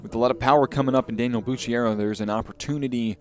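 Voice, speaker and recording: A man's voice: medium pitch, steady tone, rapid-fire, smooth. A man giving analysis as a color commentator on a live baseball broadcast.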